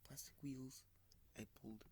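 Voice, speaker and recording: A man with a stuffy, sniffly voice talks slowly and haltingly in a casual vlog, often dropping to a soft, whispery tone. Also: mid-range voice, steady tone, background noise. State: congested, sniffling. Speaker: model train channel host. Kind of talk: casual screen-recorded vlog.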